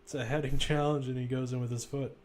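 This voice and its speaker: A man's voice: mid-range voice, little pitch movement, quick pace, darkish tone. A man giving casual, conversational live play-by-play commentary on a football match, with wry asides.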